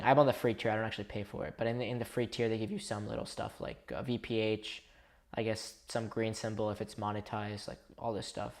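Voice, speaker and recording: Rambling young-sounding male streamer. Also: medium pitch. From India. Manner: rambling, restarts, chatty asides to viewers. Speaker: livestreamer. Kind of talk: casual live-stream chat.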